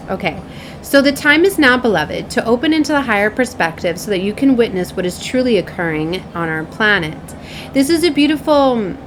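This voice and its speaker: A woman's voice: on the high side, a bit bright, a little hiss or hum. A woman hosting a spiritual card reading, reading oracle messages aloud in a mystical, declarative way. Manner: solemn, declarative, mystical phrasing. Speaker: spiritual card reader, host. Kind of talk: reading oracle cards aloud with casual asides.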